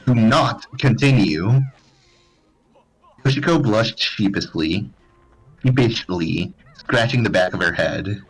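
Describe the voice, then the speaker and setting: A man's voice: on the low side, animated, slow, long pauses, slightly rough, low-quality recording. A man narrating fan fiction as a live dramatized comedic reading.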